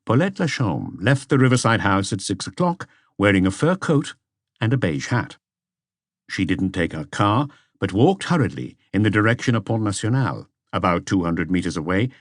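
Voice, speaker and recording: Low-pitched male audiobook narrator. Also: no room sound, clean studio sound. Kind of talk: scripted narration of a detective novel.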